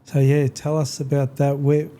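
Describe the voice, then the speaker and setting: Very dark, mellow-voiced male podcast host. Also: mid-range voice, monotone, normal speed. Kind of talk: podcast introduction and conversational interviewing.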